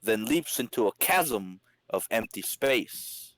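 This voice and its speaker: A man with a fairly low voice, co-hosting a casual podcast. He banters and reads comic narration aloud, in a dry, close recording. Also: animated, slow, a bit bright, no echo. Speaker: podcast co-host.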